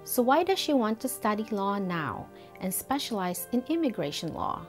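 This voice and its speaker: A middle-aged woman hosting an interview, delivering a scripted welcome and questions in a measured, deliberate way. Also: mid-range voice, big pitch swings, faint music behind. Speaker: host. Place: indoor studio against a brick wall.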